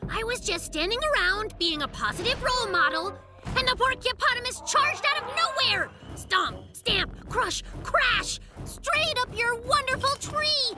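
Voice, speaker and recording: A woman voicing a boastful, fibbing little boy bear cub in a children's cartoon, with excitable, dramatic delivery. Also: very high-pitched, a little slow, full character voice. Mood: sheepish fibbing. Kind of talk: children's cartoon dub acting. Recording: music behind the voice.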